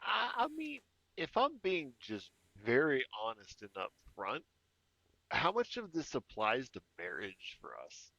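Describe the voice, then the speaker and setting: A man co-hosting a music reaction show, chatting casually with an emphatic, halting delivery. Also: medium pitch, huge pitch swings, bright, forceful tone, no echo, highs cut off.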